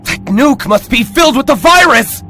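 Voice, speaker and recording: A man voicing an excitable, anxious character in a game cartoon, high-strung and exclamatory, with music behind him. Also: moderate pace, heavily acted. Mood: alarmed, excited.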